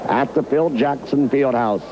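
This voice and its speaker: A man's voice: mid-range voice, a little slow, background noise. A man doing live radio play-by-play of a basketball game in clipped, telegraphic phrases, on a local 1990s broadcast. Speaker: radio play-by-play sports announcer. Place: indoor gymnasium fieldhouse.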